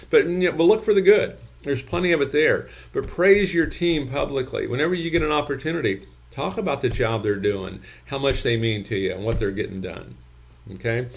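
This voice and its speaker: A man in his sixties giving business coaching from a home office, folksy and repetitive, tagging points with "okay?". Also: medium pitch. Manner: folksy, repeats points, ends lines with "okay?". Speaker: gym business consultant. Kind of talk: talking-head business coaching. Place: home office with bookshelves, indoors.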